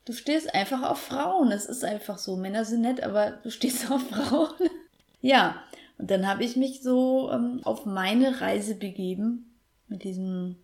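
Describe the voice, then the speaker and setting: Reflective woman, slightly high voice. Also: measured pace, a little mellow. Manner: reflective, hesitant with many fillers. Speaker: podcast co-host. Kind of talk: casual chat.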